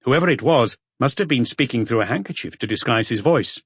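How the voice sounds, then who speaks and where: A man's voice: mid-range voice, expressive, brisk, husky, dry recording. A man reading scripted crime fiction as an audiobook narrator.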